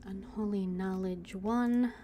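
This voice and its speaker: A woman streaming a game, thinking aloud in an unhurried, casual way. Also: medium pitch, fairly even intonation, warm tone, a little hiss or hum. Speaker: gaming streamer. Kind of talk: casual gameplay commentary.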